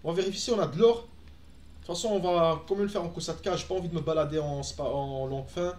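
Young belgian man, native dutch speaker, high voice, echoey room, casual streamer chatter. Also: quick pace, darkish tone. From Belgium, Antwerp. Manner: chatty, answers chat, frequent fillers and restarts. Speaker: gaming streamer. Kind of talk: casual live-stream chat while playing. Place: room at home, indoors.

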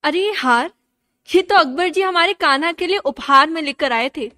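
A woman playing an earnest, gentle young princess steadfast in her devotion, in a radio drama. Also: high-pitched, moderate pace. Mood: earnest, pleading. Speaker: actor in a student radio drama. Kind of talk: radio drama acting in Hindi.

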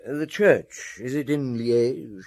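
A man's voice: medium pitch, takes it slowly, a little mellow. A man acting as a shrewd middle-aged chief inspector in a radio drama, questioning tersely with thoughtful grunts. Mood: probing.

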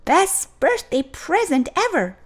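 A woman voicing a frank young male mackerel in a children's storybook, emphatic and quick to scold his best friend. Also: extremely high pitch, very animated, voice pushed up, heavily acted. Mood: proud.

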